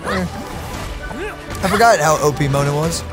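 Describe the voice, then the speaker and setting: A woman's voice: low-pitched, moderate pace. A young woman making casual, wry and playful reaction commentary as a gaming streamer. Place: indoor room, on webcam beside game footage. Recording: game music behind the voice.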